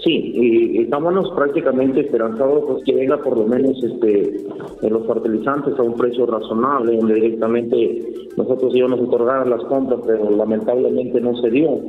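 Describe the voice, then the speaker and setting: A Peruvian rice farmer speaking in a phone interview, hesitant, with many fillers and restarts. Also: male voice, medium pitch, fairly even intonation, moderate pace, faint music behind. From Peru. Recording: echoey tinny phone line.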